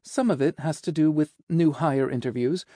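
A man's voice: fairly high pitch, moderate pace, dark tone, no room sound, clean studio sound, voice pushed up. A man voicing a cheerful, efficient female secretary with a teasing, matter-of-fact manner in an audiobook. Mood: cheerful, businesslike. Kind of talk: audiobook character dialogue.

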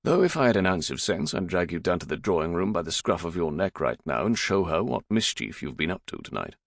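Deep gravelly father figure done by a man, middle-aged, dry weary reproach, audiobook drama. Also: big pitch swings, fairly quick, no echo, heavily acted. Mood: exasperated, fond. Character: stern but fond middle-aged father, former patriot raider.

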